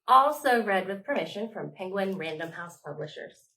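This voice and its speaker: A woman leading a storytime for young children, reading aloud expressively with dramatic pauses, like a children's librarian. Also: mid-range voice, lively intonation, sharp tone, rough recording.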